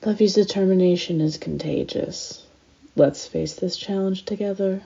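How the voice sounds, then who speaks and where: Woman as creaky, composed female pirate, dull audio. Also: mid-range voice, normal speed, mellow, natural voice. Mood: resolute.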